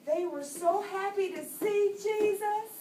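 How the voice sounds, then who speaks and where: A woman's voice: very high-pitched, slow, mellow. A woman voicing a perky, earnest female puppet in a children's show, with exaggerated, preachy delivery. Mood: earnest storytelling. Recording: distant, echoey room with clear noise.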